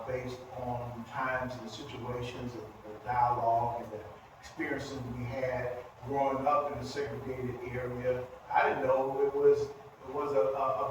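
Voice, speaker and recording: A man's voice: mid-range voice, background noise. Older man in a very echoey, distant room. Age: 70s. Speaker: interviewee sharing oral history. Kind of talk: recorded oral history conversation. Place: echoey indoor room at a history center.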